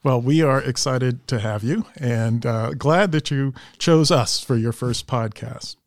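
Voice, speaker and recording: A man's voice: mid-range voice, a little slow, dry recording, studio quality. A man hosting a podcast interview in a polished, professional way, introducing topics and putting questions to a guest. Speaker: podcast host and investment strategist.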